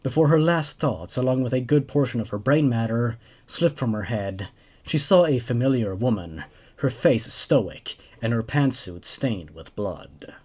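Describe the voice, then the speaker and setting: Young man with a very animated delivery. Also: medium pitch, unhurried, husky. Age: 20s. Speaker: host narrator and reviewer. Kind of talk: reading horror stories aloud with commentary. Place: small dark room indoors. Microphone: desk microphone on a boom arm.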